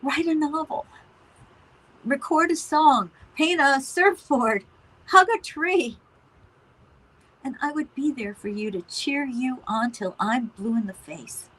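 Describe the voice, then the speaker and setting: A woman's voice: high-pitched, lively intonation, slow pace, fairly bright tone, exaggerated voice, rough recording. A middle-aged woman playing an emotional, wryly opinionated mother of a grown son in a naturalistic one-act play. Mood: exasperated. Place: virtual play performed over video call.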